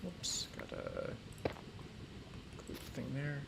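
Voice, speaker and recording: A man chatting casually on a gaming stream, thinking aloud in a halting, rambling way with dry, self-deprecating humour. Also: medium pitch, wildly swooping pitch, creaky, heavy background noise. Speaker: livestreamer playing a building game.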